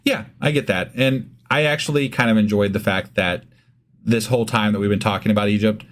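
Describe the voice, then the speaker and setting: A man's voice: fairly low pitch, a little fast. Casual, opinionated man co-hosting a podcast. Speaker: podcast co-host. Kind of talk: casual conversational commentary.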